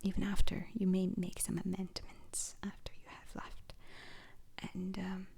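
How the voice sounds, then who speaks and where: A breathy, whispered female instructor from a space agency, acted by a woman for an ASMR roleplay. She lectures very slowly and formally, pausing often between short phrases. The voice is husky with some creak, and stays calm throughout. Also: mid-range voice, exaggerated voice. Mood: calm, instructive. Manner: slow, deliberate, hesitant pauses, formal wording.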